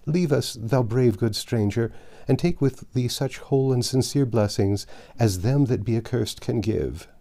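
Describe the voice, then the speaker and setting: A man's voice: medium pitch, measured pace, mellow, natural voice. Man voicing a dying, impassioned peasant woman. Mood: grateful, pleading. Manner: impassioned archaic speech. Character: dying peasant woman, gaunt, grieving, bitter and grateful.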